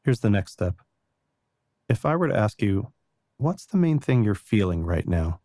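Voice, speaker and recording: A man guiding a meditation in a calm, gentle and unhurried way, reassuring the listener with soft spoken instructions. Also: fairly low pitch, dry recording, studio quality. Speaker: meditation guide.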